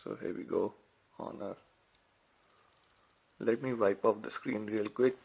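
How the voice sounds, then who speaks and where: A man's voice: fairly low pitch, fairly even intonation, unhurried, vocal fry, a little mellow, a little hiss or hum, rough recording. A man giving casual, informal unboxing commentary as a tech reviewer, talking directly to viewers. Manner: informal, addresses viewers as guys.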